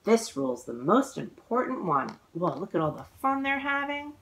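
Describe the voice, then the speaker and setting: A woman in her forties reading a children's picture book aloud, expressive and exclaiming, drawing out words for young listeners. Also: medium pitch, normal speed. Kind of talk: animated children's read-aloud storytelling. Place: living room at home, indoors.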